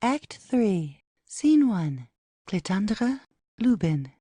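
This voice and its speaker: A woman's voice: medium pitch, big pitch swings, drawn-out words, warm tone, slightly rough, no room sound, clean studio sound. A woman narrating an audio play, reading out headings and stage directions as scripted narration.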